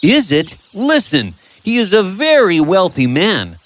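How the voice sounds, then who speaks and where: A man's voice: high voice, huge pitch swings, a little slow, voice pushed up, exaggerated voice. A man voicing a concerned village friend in a storybook tale, persuasive and insistent as he gives advice. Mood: friendly, persuasive. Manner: insistent, advising.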